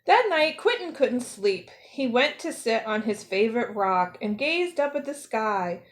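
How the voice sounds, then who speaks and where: A woman reads a children's picture book aloud with expressive phrasing. Her voice sits a little high and she goes slowly, setting out each phrase with care for young listeners. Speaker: storyteller, zoo educator.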